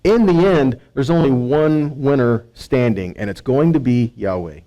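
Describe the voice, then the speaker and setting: Mellow-voiced American man teaching scripture. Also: mid-range voice, animated, a little slow, muffled recording. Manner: conversational, rhetorical questions, frequent check-in tags like right and okay. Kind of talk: sermon-like Bible teaching, reading verses and explaining.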